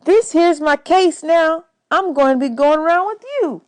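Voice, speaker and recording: A woman's voice: extremely high pitch, moderate pace, dry recording, voice pushed up. A woman voicing an earnest, polite boy of about ten in a storybook. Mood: awed, grateful.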